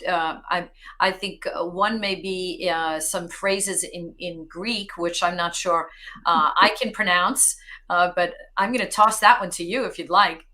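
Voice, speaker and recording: A woman's voice: lowish voice, sharp tone. A woman co-hosting a live speaker event, courteously thanking the guest and passing questions along. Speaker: host, co-moderator.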